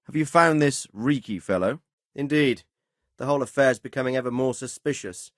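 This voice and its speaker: A man playing a measured, fatherly but stern middle-aged medieval lord in a video game, speaking formally and with authority. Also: medium pitch, dry recording, studio quality, natural voice. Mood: stern, urging. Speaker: lord giving orders and counsel. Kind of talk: dramatic video game dialogue.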